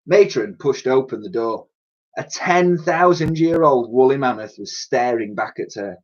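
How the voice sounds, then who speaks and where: A man reading a children's storybook aloud in an expressive way, like a teacher reading to pupils. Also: medium pitch, unhurried, highs cut off. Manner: expressive, acts out characters' dialogue.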